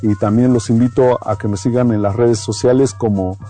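A man's voice: medium pitch, fairly even intonation, moderate pace, warm tone, faint music behind. A man from Oaxaca with a Mexican accent, a native Mazatec speaker, answering as an artist guest in a radio interview.

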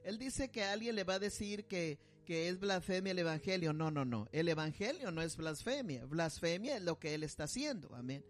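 A man's voice: high voice, expressive, moderate pace, few pauses. A man preaching and commenting on a video, emphatic and dropping amen between phrases.

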